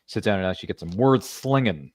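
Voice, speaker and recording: A man with a very low voice hosts a live interview, talking quickly in a casual, rambling way full of jokey asides. The sound is dry and close, with no room around it. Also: expressive, dry recording. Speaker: podcast host.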